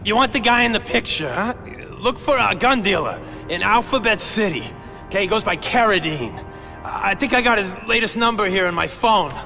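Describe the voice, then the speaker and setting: An actor playing a shifty, nervous gang informant who stammers and keeps asking "huh?" in a game cutscene. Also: male voice, high-pitched, lively intonation, normal speed, in a large hall, over music. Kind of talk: video game cutscene acting.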